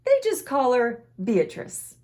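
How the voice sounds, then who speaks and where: A woman with a fairly high voice reads a children's storybook aloud. Her delivery is expressive and swings widely in pitch, animated for young listeners. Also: slow pace. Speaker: children's storytime host and reader. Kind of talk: expressive read-aloud of a children's picture book.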